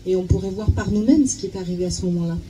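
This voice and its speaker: Very dark-toned woman interpreting, hesitant. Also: lowish voice, racing, soft background music, rough recording. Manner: hesitant, repeating words as she searches. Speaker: live interpreter. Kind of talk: consecutive interpreting. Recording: clear steady background noise.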